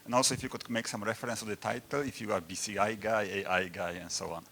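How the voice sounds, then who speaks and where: A man from Poland moderating an academic panel, introducing the speakers in halting, informal phrasing. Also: lowish voice, fairly even intonation, fairly bright tone, slight background noise.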